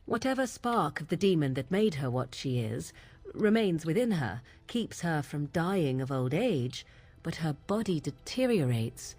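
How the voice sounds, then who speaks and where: An actress playing a proud, brooding young witch in a fantasy video game, with formal, archaic and deliberate diction. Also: deep voice, big pitch swings, a little mellow, exaggerated voice. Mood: troubled. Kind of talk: video game dramatic dialogue.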